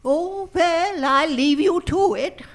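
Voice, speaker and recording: A woman's voice: very high voice, slow pace, heavily acted. A woman playing a prim, politely ominous hotel hostess in a live radio comedy. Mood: flustered. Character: formal, morbidly prying married woman hotel hostess.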